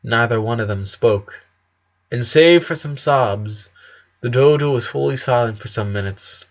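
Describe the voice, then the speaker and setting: Male narrator, mid pitch, earnest dramatic story reading, scripted, slightly muffled recording. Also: unhurried. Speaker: narrator of a fan fiction story.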